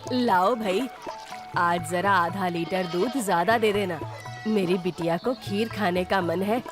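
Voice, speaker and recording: A woman's voice: mid-range voice, unhurried, music in the background, pitched below the performer's own voice, natural voice. A woman voicing a kind, trusting, chatty village housewife in a children's cartoon story, speaking earnestly. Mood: friendly. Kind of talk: animated children's moral story dialogue.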